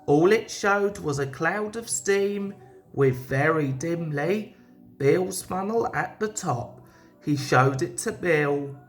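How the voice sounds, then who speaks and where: A woman narrating a scripted children's story as an expressive read-aloud. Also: lowish voice, takes it slowly, soft background music. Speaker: storybook reader.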